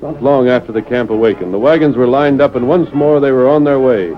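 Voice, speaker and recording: A man narrating an old-time radio western in a measured, formal, dramatic American voice. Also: medium pitch, close to an everyday voice. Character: dramatic storyteller of an Old West serial. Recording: muffled old radio broadcast recording.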